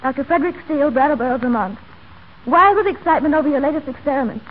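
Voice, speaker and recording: Actress as a high-voiced, anxious loyal friend. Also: female voice, very fast, phone-quality sound, close to an everyday voice. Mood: careful. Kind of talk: 1940s radio drama acting. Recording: thin old radio recording, music underneath.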